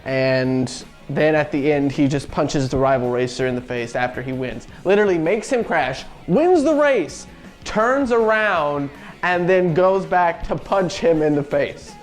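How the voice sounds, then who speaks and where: Male game-show co-host, slightly high voice, casual and opinionated film reviews, slangy rants, faint music underneath. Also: lively intonation, a little slow.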